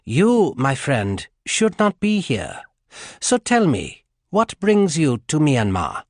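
A man voicing a cultured middle-aged military interrogator with a slight British accent, smooth and politely menacing. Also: fairly high pitch, huge pitch swings, slow, dry recording, studio quality, voice pushed up, heavily acted. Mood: suave, probing.

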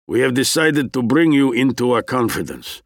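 A man voicing a stern, proud male expedition leader in an audiobook, speaking formally and gravely. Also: medium pitch, expressive, moderate pace, dry recording, voice pushed up, close to an everyday voice.

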